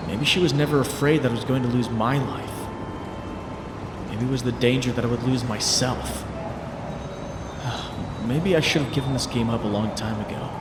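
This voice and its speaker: A man's voice: medium pitch, natural voice. An actor playing a brooding, wisecracking young male superhero in a dramatic comic dub, voicing introspective monologue with wry quips. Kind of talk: dramatic comic-dub narration and character acting. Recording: noisy, echoey, ambience behind.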